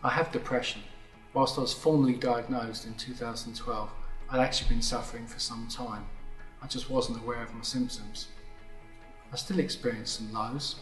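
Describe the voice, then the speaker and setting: Man, forties, reflective, mid-pitched, roomy video recording. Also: a bit bright. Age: 40s. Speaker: employee sharing his mental health story. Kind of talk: scripted testimonial.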